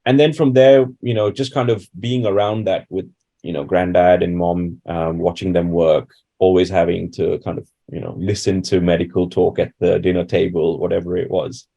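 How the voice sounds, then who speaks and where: A man's voice: low voice, fairly even intonation, moderate pace, creaky, mellow, dull audio. A man from India giving reflective, conversational answers as a doctor being interviewed. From Bangalore, India.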